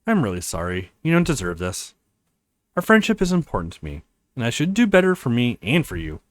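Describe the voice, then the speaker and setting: A man's voice: medium pitch, wildly swooping pitch, a little fast, no echo. A man playing an apologetic, earnest young male college student in a visual novel, with a pleading, sincere manner.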